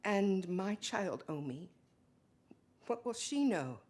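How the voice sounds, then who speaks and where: A woman performing an earnest, conflicted Mennonite wife in a dramatic stage reading, halting and emotional. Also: mid-range voice, big pitch swings, darkish tone. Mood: worried.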